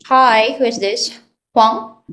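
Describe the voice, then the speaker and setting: A woman's voice: on the high side, takes it slowly, a bit bright. A woman from the Philippines teaching an online English class to children, asking patient prompting questions over a video call.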